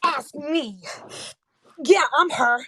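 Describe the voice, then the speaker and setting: Woman playing a high, fiery young rebel heroine. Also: extremely slow, bright, forceful tone, no echo, heavily acted. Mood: defiant, shaken. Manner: combative, emotional. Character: defiant, feisty young female sci-fi rebel. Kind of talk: screenplay table read.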